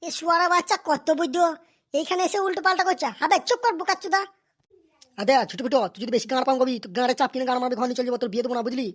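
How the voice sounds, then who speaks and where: A performer voicing an excitable, scheming village elder in a comedy cartoon, coarse and quick to scold and haggle. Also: high-pitched. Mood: angry scolding.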